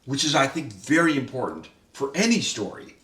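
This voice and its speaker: A man's voice: mid-range voice, big pitch swings, brisk, sharp tone, recorded from a distance. Male host, chatty questioner, roomy room, off mic. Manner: chatty, asks questions, many restarts. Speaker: writer-director and host. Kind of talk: casual roundtable chat.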